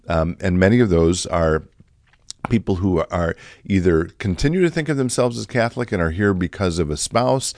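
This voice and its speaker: Deep-voiced middle-aged man, slightly raspy. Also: expressive, normal speed, darkish tone, dry recording, clean studio sound. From Chicago area, USA. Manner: conversational, many fillers and restarts. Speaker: pastor. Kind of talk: reflective podcast talk.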